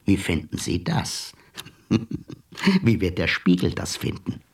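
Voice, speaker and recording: An actor playing a cool, mocking male blackmailer in a radio play, smooth and unhurried. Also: sits low, animated, recorded from a distance, heavily acted. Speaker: blackmailing hacker visitor. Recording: voice set back from mic, music behind.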